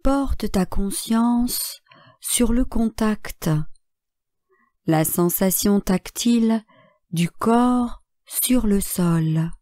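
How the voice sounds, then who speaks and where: Female meditation guide, soft-edged mellow tone, dry close sound, measured and calm delivery of short relaxation cues. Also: medium pitch, no room sound. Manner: calm, measured, instructing in short phrases with long pauses. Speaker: yoga nidra instructor.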